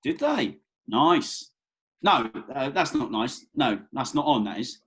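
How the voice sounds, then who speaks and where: Actor as an easygoing British bloke, slightly husky. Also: male voice, mid-range voice, big pitch swings, slow pace, a bit bright, heavily acted. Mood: cheeky, bemused. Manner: colloquial, hesitant, placating. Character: easygoing, cheeky, bumbling young bloke at festival. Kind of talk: table read of a comedy drama script.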